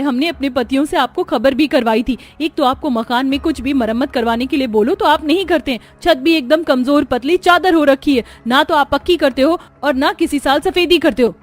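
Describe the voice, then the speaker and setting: A woman's voice: high-pitched, voice pushed up, close to an everyday voice. A woman voicing a spirited young village daughter-in-law in a cartoon story, chatty and outspoken. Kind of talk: Hindi cartoon story acting.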